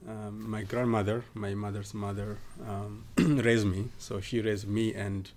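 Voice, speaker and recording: A man from southern Ethiopia, a guest in a podcast interview, talking casually with many pauses and fillers. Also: fairly low pitch, level tone, a little mellow. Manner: hesitant, many fillers and restarts.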